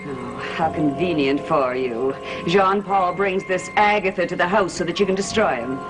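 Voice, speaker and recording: A woman's voice: fairly low pitch, normal speed, over music, close to an everyday voice, low-quality recording. An actress playing a stern, sardonic coven woman in a gothic soap opera, imperious and biting. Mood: sardonic, suspicious.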